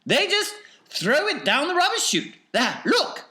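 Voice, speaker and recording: Storybook: excitable eccentric inventor, very high and bright, slow and emphatic. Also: male voice, exaggerated voice. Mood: enthusiastic. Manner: eager, insistent, explaining. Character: excitable eccentric man, factory owner. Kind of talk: audiobook character voice.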